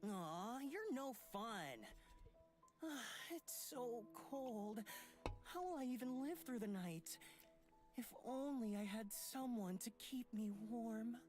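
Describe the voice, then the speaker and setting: A woman acts a pampered, flirtatious visual novel character. The delivery is coy and theatrical, swinging widely in pitch, with music clearly behind it. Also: lively intonation, takes it slowly, a little mellow. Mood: plaintive, coy. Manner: coy, dramatic complaining.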